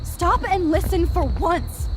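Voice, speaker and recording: A woman's voice: very high-pitched, animated, a little hiss or hum, heavily acted, poor audio. A young American woman playing an anxious, earnest teenage girl in a dramatic video game, speaking in a hesitant, pleading way. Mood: urgent, pleading. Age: young adult. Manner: hesitant, halting, emotionally pleading.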